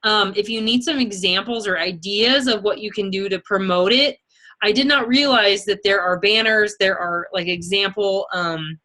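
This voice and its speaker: A woman's voice: medium pitch, normal speed, pushed and bright. A woman leading a team as a fitness sales coach, talking casually and chattily in an informal motivational style. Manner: informal, chatty, peppered with okay and you know.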